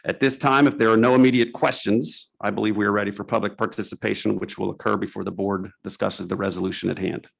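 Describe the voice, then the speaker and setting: Gravelly man reading a formal statement. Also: on the low side, fast, few pauses. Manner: formal and steady. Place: school board meeting room.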